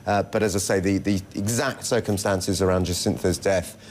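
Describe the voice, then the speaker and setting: A man with a low voice gives live news analysis as a correspondent. He explains in a halting, hesitant manner, with some noise behind him. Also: steady tone. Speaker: senior international news correspondent. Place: television news studio.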